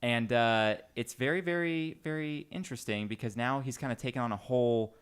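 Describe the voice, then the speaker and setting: Joking male podcast host, medium pitch. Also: fairly quick, smooth. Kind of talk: casual pop-culture podcast talk with some reading of comic history.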